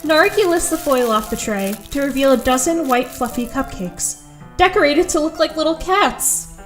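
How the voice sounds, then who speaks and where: A woman's voice: high-pitched, animated, moderate pace, faint music behind. A woman playing an awkward, earnest teenage schoolgirl who narrates her thoughts in an audio drama, a little hesitant. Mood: observant.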